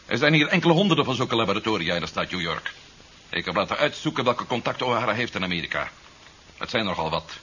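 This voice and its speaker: A cunning male security agent who reasons fast and methodically, his voice bright and forceful. It is 1970s radio drama, muffled with faint hiss. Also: lowish voice, animated, husky, heavily acted. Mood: methodical, assured. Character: cunning male Martian-turned security agent hunting fugitives.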